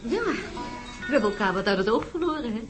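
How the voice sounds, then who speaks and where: An actress in a radio play voicing an astronaut's wife, a doctor who talks chattily and anxiously. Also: female voice, a little high, lively intonation, quick pace, over music, lightly acted. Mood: chatty, friendly. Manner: chatty, turning anxious and urgent. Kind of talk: radio drama acting. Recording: noisy ambience behind voice.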